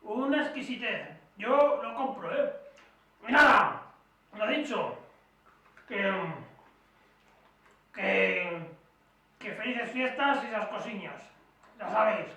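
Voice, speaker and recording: A man's voice: high-pitched, animated, takes it slowly, long pauses, bright, forceful tone. A man rambling and repeating himself through an improvised holiday greeting and product tasting. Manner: rambling, repetitive, tag questions. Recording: very echoey, distant mic, slight noise.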